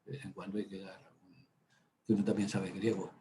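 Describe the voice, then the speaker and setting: A man's voice: low-pitched, monotone, fairly quick, warm tone, slight background noise. A man, a priest, teaching a Bible workshop in a chatty, digressive way, with many hesitant fillers and side stories. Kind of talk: informal Bible lecture.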